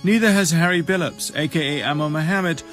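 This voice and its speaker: A man's voice: fairly high pitch, a little fast, a bit bright, faint music behind. A man reporting as the narrator and interviewer of a documentary.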